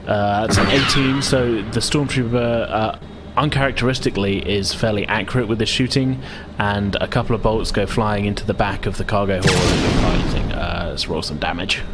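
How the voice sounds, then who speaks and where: A man's voice: lowish voice, steady tone, normal speed, very noisy. A man running a tabletop roleplaying game, narrating casually and off the cuff in an amused manner. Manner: amused, chuckles while narrating. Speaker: game master. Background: loud music.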